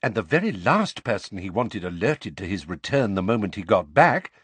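A man voicing a reasonable grown man in an audiobook, measured and pointed in manner. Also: lowish voice, big pitch swings, slightly rough, no room sound. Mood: measured, satisfied. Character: reasonable, calm but sharp grown man peacemaker.

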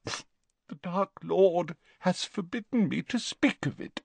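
A man voicing a desperate, tearful aristocratic mother in an audiobook, pleading in a high, tearful voice. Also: animated, takes it slowly, creaky, darkish tone, slightly rough, no room sound, exaggerated voice. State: crying. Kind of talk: audiobook character voice.

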